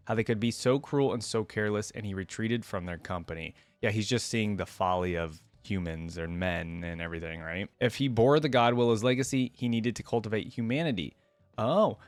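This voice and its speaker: A man's voice: medium pitch, no room sound. A man hosting a reaction video, reading a story aloud expressively and adding casual commentary.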